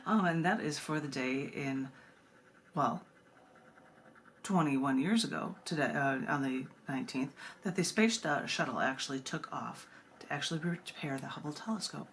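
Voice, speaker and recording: Low voiced lady poet at a reading, emphatic delivery, some background noise. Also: normal speed, a bit bright. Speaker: poet and host of a book reading. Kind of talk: poetry recitation with casual commentary between poems.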